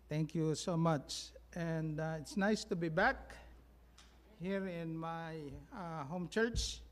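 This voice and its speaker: A man with a Filipino accent giving a mission trip report to his church, halting with many fillers and sounding sluggish from jet lag. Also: a little high. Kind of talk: church announcement talk. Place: church sanctuary.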